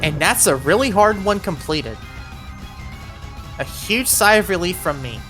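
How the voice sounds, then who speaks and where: A woman narrates a video game guide with a lively, swinging pitch. She talks the player through each move in clear instructional steps, adding cautions as she goes. Music plays behind her voice. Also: a little slow. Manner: addresses the player with step-by-step cautions. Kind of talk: voiced walkthrough commentary, instructional.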